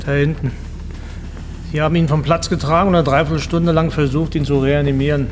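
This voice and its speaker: A man's voice: on the high side, fairly even intonation, fairly quick, a little hiss or hum, natural voice. A man playing an earnest, dutiful police detective in a radio crime drama, matter-of-fact and cooperative.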